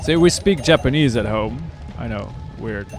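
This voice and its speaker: A man with a mid-pitched voice chats easily while he plays a game live, answering his viewers with short laughs. Game music plays clearly under everything he says. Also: expressive. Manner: chatty, answers chat with quick laughs. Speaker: game streamer. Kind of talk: casual live-stream chat while playing.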